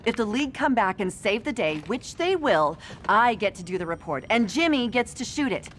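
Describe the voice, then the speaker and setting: A woman's voice: fairly high pitch, big pitch swings, moderate pace, a little hiss or hum. A woman playing a feisty, persuasive newspaper reporter in a video game, insistent and confident. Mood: bargaining. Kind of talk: animated video game cutscene acting.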